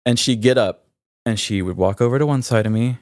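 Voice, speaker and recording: A man hosting a podcast, talking candidly and reflectively in a halting way, searching for words. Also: medium pitch, darkish tone, slight background noise. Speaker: podcast host. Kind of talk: candid, reflective personal conversation.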